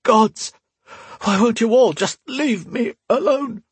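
A man's voice: extremely high pitch, takes it slowly, dry recording, pitched above the performer's own voice, heavily acted. A man voicing a wheezing, wounded young man near death. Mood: anguished. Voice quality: raspy, wheezing, strained. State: out of breath, in pain. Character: wounded young man, terrified, half-delirious.

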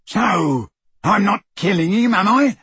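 A man voicing Crabbe, a huge, thuggish teenage boy wizard who is slow and soft-spoken but quick to turn menacing, in an audiobook reading. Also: on the low side, very animated, husky, no room sound, voice pushed down, exaggerated voice. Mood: furious.

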